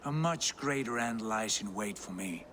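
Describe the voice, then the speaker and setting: An actor playing a proud, bitter Viking prince with visions of greatness, haughty and declamatory in a dramatic video game. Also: male voice, lowish voice, animated, normal speed, fairly bright tone, slight background noise. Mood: cold scorn. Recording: music behind the voice.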